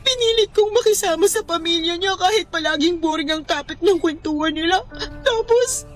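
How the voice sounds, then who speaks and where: A heartbroken young Filipino woman in a radio drama. Her voice is very high, whiny and tearful as she pleads, with music behind her. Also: moderate pace. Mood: sobbing, distraught. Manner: whiny, tearful, emotional delivery.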